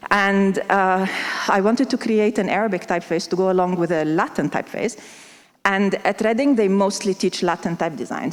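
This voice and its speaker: A woman from Lebanon gives a conference talk at a mid pitch. She is conversational and speaks straight to the listeners. Her voice carries the long reverb of a big hall. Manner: conversational, uses analogies, addresses audience.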